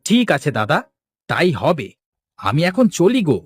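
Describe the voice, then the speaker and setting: Cartoon village fish seller, acted by a man, male, folksy, polite, busy, lively intonation, highish pitch. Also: unhurried, breathy edge, no echo, heavily acted. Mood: businesslike. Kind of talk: Bengali cartoon dialogue acting.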